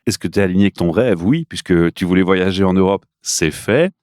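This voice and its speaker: A man hosts a podcast interview and leads his guest with friendly questions. His voice sits fairly low, and the recording is dry and close. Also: fairly quick, a little mellow, dry recording. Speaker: podcast host.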